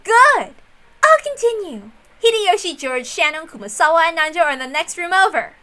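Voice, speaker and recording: A woman's voice: extremely high pitch, big pitch swings, normal speed, pushed and bright, pitched above the performer's own voice, heavily acted. A woman voicing a smug, intelligent young female detective in a visual novel reading, theatrical, commanding and ruthless. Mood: brisk, triumphant.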